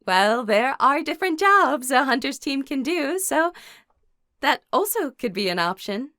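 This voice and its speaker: Woman acting a composed young heroine, highish voice. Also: big pitch swings, a little slow, sharp tone, no echo, studio quality, heavily acted. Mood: thoughtful, reassuring. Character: composed, caring teenage girl warrior telling her story. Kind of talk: first-person scripted narration and dialogue.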